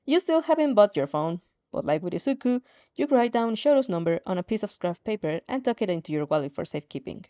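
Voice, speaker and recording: Woman, story reader, lively varied pitch, mellow tone, playful asides, slight stumbles, muffled lo-fi recording. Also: fast talker. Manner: animated, playful asides, stumbles over words. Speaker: fan fiction reader reacting as she reads. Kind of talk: expressive story narration with casual reactions.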